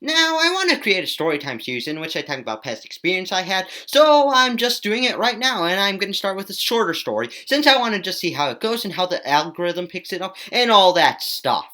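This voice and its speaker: A woman's voice: medium pitch, big pitch swings, fairly quick, hardly any pauses, bright, forceful tone. A woman telling a casual storytime in a self-mocking, rambling way, with exclamatory asides. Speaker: video storyteller, host.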